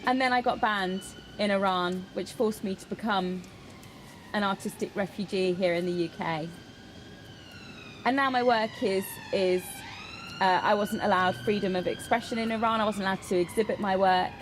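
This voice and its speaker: Woman interpreting over clear background noise. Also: mid-range voice, moderate pace. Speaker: interpreter voicing a Deaf signer. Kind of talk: live spoken interpretation of a personal statement.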